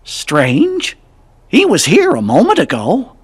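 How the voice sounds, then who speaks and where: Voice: mid-range voice, huge pitch swings, takes it slowly, breathy edge, full character voice. An actor voicing a small, courtly cartoon cricket in a video game, with a worried, folksy manner. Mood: concerned.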